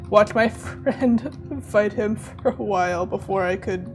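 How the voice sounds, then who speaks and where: A slightly hoarse woman chatting casually to her viewers while she plays a game, rambling and laughing as she goes. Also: mid-range voice, slow, darkish tone. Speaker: streamer. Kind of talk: casual live-stream chat while gaming. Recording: game music playing behind.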